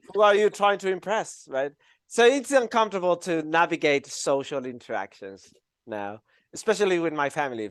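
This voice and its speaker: A man's voice: fairly high pitch, dry recording, studio quality. A man from Mexico with a Mexican accent, teaching a live online animation class in a chatty way, with many fillers and laughs. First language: Spanish. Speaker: animation instructor.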